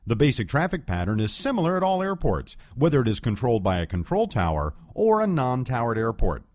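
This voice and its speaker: A male narrator delivers scripted instructional material in a measured, orderly way. His intonation moves up and down a great deal, while his pitch sits in a middle male register. The sound is dry, with no room around the voice. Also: wildly swooping pitch, darkish tone, dry recording. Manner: clear, measured explaining.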